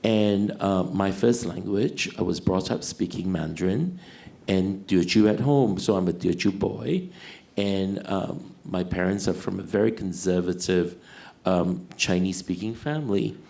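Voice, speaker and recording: A middle-aged man with a Singaporean English accent, speaking on a panel in a reflective, hesitant way with many pauses. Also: deep voice, creaky, warm tone. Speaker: fashion designer, panelist. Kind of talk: panel discussion.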